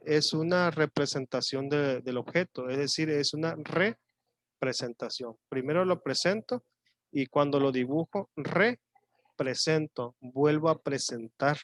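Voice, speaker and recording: A man teaching an online class in coastal Mexican Spanish, his voice sitting a bit high for a man. He explains patiently, thinking aloud with little fillers and pauses. The sound is dry and close, with no room around it. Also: no echo.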